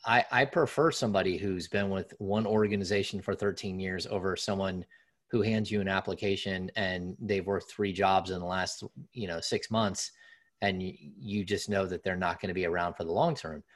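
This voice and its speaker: A man hosting a sports podcast with a raspy voice, talking loosely and conversationally with lots of fillers and restarts. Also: sits low, fairly even intonation, moderate pace. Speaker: podcast host. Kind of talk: casual sports podcast talk.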